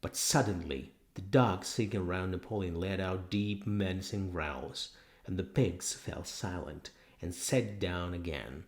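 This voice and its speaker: A man's voice: low-pitched, a little slow. A man narrating a novel as an audiobook, reading scripted prose in a dramatic storytelling style.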